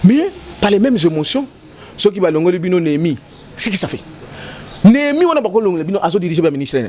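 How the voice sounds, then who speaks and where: Lively, high-pitched man arguing politics. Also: fairly quick, slight background noise. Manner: emphatic, repetitive, switching between French and Lingala. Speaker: political commentator. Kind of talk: heated political debate.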